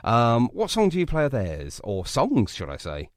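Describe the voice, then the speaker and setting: Animated male interviewer, dry sound. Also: mid-range voice, moderate pace, no room sound. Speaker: interview host. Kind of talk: casual radio-style interview.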